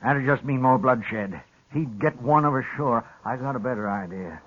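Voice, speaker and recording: A stubborn old frontier rancher with a mid-pitched voice, played by a male actor. He is plainspoken, crusty and grimly determined, in the manner of a 1950s radio western. The recording is dull and dated. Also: a bit bright, slight background noise, lightly acted. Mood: stubborn resolve. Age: older. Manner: slow, plainspoken, resolute.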